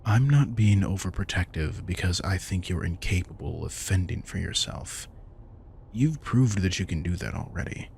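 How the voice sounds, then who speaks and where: A man playing a protective, wry and tender vampire king in an intimate audio roleplay, soft-spoken and casual. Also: low voice, animated, moderate pace, vocal fry, a little hiss or hum. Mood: reassuring, tender. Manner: soft-spoken, casual, reassuring, occasionally swearing. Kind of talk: intimate audio roleplay, one-sided dialogue.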